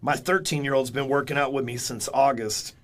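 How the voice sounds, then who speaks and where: A man's voice: mid-range voice, steady tone, brisk, fairly bright tone. A man in his forties, a guest in a casual interview, telling stories in a blunt, candid way and swearing freely. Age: 40s.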